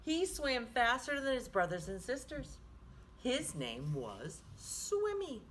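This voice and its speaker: A woman hosting children's storytime, reading a picture book aloud in an animated, sing-song manner. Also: a little high, takes it slowly, a little hiss or hum. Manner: animated, sing-song, with hushed asides to listeners.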